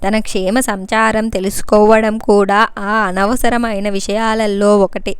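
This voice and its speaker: Female story narrator, high-pitched and crisp, scripted read. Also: no echo.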